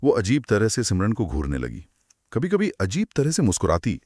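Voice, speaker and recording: Animated man telling horror stories. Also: lowish voice, normal speed, slightly rough, no room sound, clean studio sound. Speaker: host narrating horror stories. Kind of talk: conversational horror storytelling.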